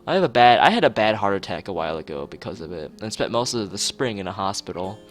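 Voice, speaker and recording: A man with a lowish voice streams a story game live. He reads the text aloud and breaks off for joking, mock-formal commentary. Faint plucked guitar music plays behind him. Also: very animated, fast. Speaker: streamer playing a visual novel.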